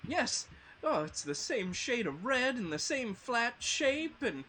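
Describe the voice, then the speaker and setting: Man acting tender-hearted tin man, fantasy table read, high-pitched, swooping intonation, melodramatic, anxious. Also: very animated, slow, bright, forceful tone, exaggerated voice. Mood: horrified pleading. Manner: fretful, earnest, melodramatic.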